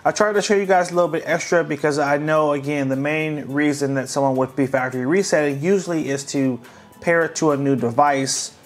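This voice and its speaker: A man hosting a tech tutorial, calmly narrating each step of a device reset in a casual, friendly way. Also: fairly high pitch. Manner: friendly, relaxed, walks viewers through each step.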